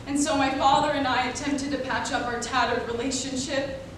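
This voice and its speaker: A young woman delivering a dramatic readers theater monologue, deliberate and emphatic, in broken phrases. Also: high-pitched, fairly even intonation. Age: young adult. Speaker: college speech competitor. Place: indoor performance room, recorded from afar. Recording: very echoey room, distant mic, noisy.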